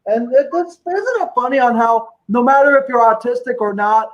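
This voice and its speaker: High-voiced man who stutters, lo-fi sound. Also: expressive. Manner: stuttering, halting, repeats words, many uh fillers. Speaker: guest. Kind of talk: casual live stream chat.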